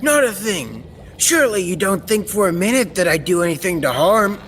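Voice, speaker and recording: Man playing an eccentric high-voiced radio scientist. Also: very animated, moderate pace, a bit bright. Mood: reassuring, proud. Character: kindly eccentric male scientist of the future, absorbed and reassuring. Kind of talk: old-time radio serial acting. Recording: reverberant, noisy stage-like recording.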